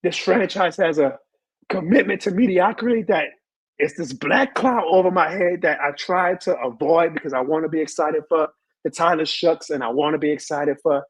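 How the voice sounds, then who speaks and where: A hoarse man who has lost his voice, hosting a live sports show and ranting with emphatic repeated lines. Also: on the high side, normal speed, dry recording. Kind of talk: live sports rant and chat reading.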